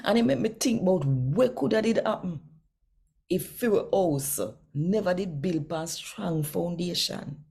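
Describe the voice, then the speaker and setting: A Jamaican woman speaking Patois as host of a devotional scripture reading, with deliberate, emphatic phrasing. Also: fairly low pitch, expressive, creaky, darkish tone, breathy edge.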